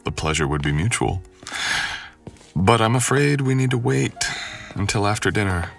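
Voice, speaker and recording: A man's voice: fairly low pitch, expressive, moderate pace, gravelly, soft background music. A man acting as a mysterious, possessive, suave wealthy estate host in a boyfriend ASMR roleplay, speaking in formal, courtly, old-fashioned phrases. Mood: suave, charming.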